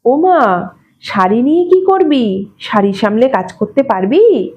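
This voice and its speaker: A woman's voice: on the high side, big pitch swings, takes it slowly, muffled recording. A woman voicing a mild grandmotherly mistress in a storybook reading, coaxing and pretending to be naive. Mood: feigned innocence. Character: mild grandmotherly employer feigning innocence to young maid.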